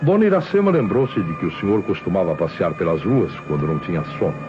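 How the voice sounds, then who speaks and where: An actor playing a relentless police inspector in an old Brazilian radio drama, speaking in a theatrical, deliberate, accusing way. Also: male voice, deep voice, big pitch swings. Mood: confident, explanatory. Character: shrewd, relentless male police inspector exposing a killer. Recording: dull vintage radio recording with music.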